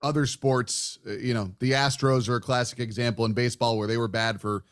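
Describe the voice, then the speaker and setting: A man's voice: medium pitch, fairly even intonation, brisk. A man hosting a sports podcast, talking in a chatty, discursive way like a casual broadcaster in conversation. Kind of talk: casual sports interview talk.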